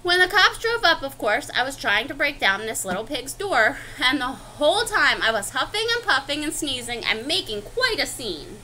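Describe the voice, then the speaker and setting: A woman's voice: high-pitched, sing-song, moderate pace, hardly any pauses, pushed and bright, slight background noise, exaggerated voice, low-quality recording. A woman voicing an indignant, self-justifying wolf telling his side of a picture-book story in a chatty, confiding, theatrical way. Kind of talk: expressive picture-book read-aloud.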